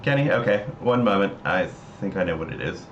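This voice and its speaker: A man with a fairly low voice talks as a livestream host, casual and explanatory. He feels his way through sentences with hesitant pauses. The sound is roomy and echoey, slightly muffled, with some faint noise behind him. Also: expressive. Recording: roomy echo, dull mic, noisy background.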